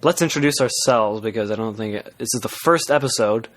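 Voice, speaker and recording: A man's voice: fairly low pitch, a little fast. A man co-hosting a podcast in casual comic banter, with a matter-of-fact, slightly defensive way of talking. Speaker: podcast co-host.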